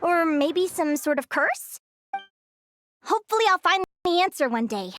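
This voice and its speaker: A woman's voice: very high-pitched, sing-song, measured pace, fairly bright tone, full character voice. A woman playing an earnest, sweet young female animal researcher in a video game, explaining things. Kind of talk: animated game character acting.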